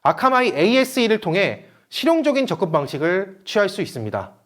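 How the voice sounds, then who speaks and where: A man's voice: sits high, big pitch swings, a little fast. A man giving a scripted webinar presentation in a formal, explanatory manner. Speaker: presenter, company manager.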